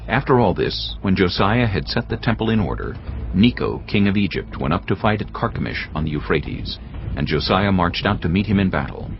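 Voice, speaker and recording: A man narrating scripture from a script in a solemn, measured storytelling manner. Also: deep voice, expressive, slight background noise. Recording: dull band-limited sound, music underneath. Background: clear background music.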